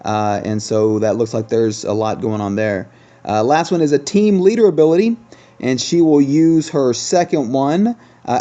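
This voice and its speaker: A man's voice: mid-range voice, mellow. A man hosting a casual game review, talking conversationally and thinking aloud as he goes. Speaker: gaming channel host. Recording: dull, lo-fi sound.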